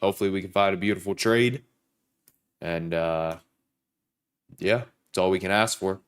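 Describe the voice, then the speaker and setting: Man, young, sick and nasal, deep voice, casual livestream chat. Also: moderate pace, clean tone. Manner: greets viewers by name, hypes likes. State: sick, stuffed up, sore throat. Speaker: livestream trader. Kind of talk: casual live-stream chat.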